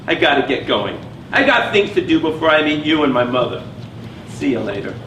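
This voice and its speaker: A man's voice: a little high, very animated, brisk, a bit bright. An actor playing a brusque, businesslike man in a stage play. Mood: brisk. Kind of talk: live stage play acting. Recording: echoey, noisy, distant stage recording.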